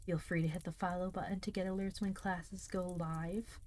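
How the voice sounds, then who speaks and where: A woman hosting a livestream, chatting casually and calmly with her viewers as if greeting a class. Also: fairly low pitch, moderate pace. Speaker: livestream host reading stories aloud.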